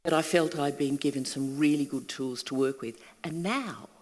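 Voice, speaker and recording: An older Australian woman speaks with earnest conviction, her voice sometimes falling to a hush. She sounds like a panel guest in a large hall, with long reverb trailing her words. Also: a bass voice, moderate pace. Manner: earnest, reflective, at times hushed. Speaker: panel guest, workshop leader. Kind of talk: candid panel talk. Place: event hall.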